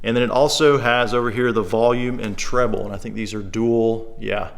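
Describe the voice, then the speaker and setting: A man's voice: medium pitch, steady tone, normal speed, gravelly. A man giving a casual, unscripted product demonstration, plain-spoken and easygoing, thinking aloud as he goes. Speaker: secondhand shop presenter.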